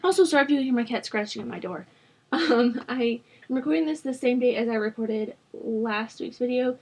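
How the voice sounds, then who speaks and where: A young woman host rambling casually over a game build, her voice rough from a sore throat, with lots of fillers. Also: on the high side, a little fast, darkish tone. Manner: rambling, chatty, with frequent fillers. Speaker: Sims builder channel host. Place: at home.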